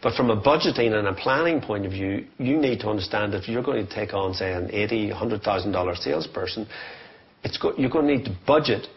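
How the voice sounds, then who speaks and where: A man's voice: fairly low pitch, fairly quick, dull audio. A man giving informal business coaching as a sales trainer, explaining points emphatically with short, direct instructions.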